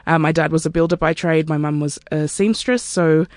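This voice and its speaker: A woman's voice: deep voice, steady tone, brisk, a little mellow, no echo. A woman, a research scientist speaking candidly and reflectively about her work in an interview. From Australia. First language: Turkish. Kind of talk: interview monologue.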